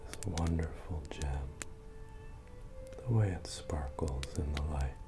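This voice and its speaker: Medieval innkeeper, male performer: bottomless low voice, unhurried, soothing, near-whispered ASMR roleplay, friendly and humble. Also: full character voice. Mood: hushed, hypnotic. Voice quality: deep, breathy, often near a whisper. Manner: slow, soothing, gently repetitive. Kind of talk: soft-spoken ASMR roleplay. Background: music.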